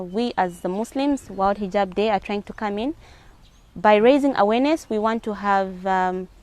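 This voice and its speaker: A woman's voice: mid-range voice, dry recording. A woman with a Zambian accent giving earnest answers as a guest in a spoken interview. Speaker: interview guest, hijab advocate.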